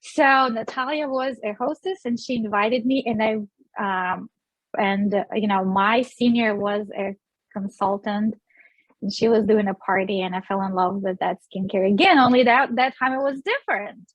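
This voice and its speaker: A woman's voice: mid-range voice, normal speed, no echo, muffled recording. A woman in her forties from Russia with a Russian accent, chatting as an interview guest, with many fillers and restarts. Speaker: guest, sales director. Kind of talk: casual interview.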